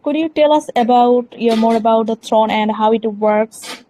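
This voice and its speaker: A woman's voice: a little high, moderate pace, dark tone, a little hiss or hum, poor audio. A woman hosting a community question session on a video call, repeating simple thanks and phrases. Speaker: community AMA host.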